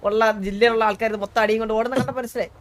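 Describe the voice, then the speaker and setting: A man's voice: high-pitched, level tone, rapid-fire, a crowd in the background. A man taunting a rival in online role-play, repeating his phrases. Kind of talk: casual in-character taunting during GTA role-play. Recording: noisy game voice chat.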